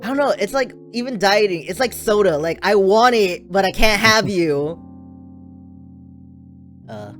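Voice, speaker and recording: A chatty stream host who keeps breaking into giggles, with a voice that sits at an ordinary middle pitch. Plucked guitar music plays clearly behind the talk. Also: sing-song, normal speed, a bit bright. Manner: giggly, chatty, teasing questions. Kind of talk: casual streamed chat.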